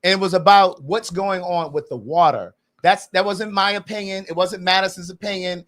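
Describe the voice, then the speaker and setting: Podcast host, man in his late forties, slightly high voice, insistent and repetitive, laughs big. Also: moderate pace, a bit bright. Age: 40s. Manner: emphatic, repeats points, laughs heartily. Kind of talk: casual opinionated chat.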